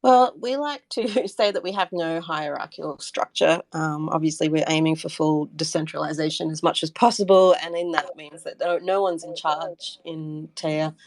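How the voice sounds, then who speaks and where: A woman's voice: deep voice, fairly even intonation, normal speed, hardly any pauses, no room sound. A woman answering as a guest in a casual interview, hesitant and thinking aloud as she goes. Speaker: interview guest, community member and artist.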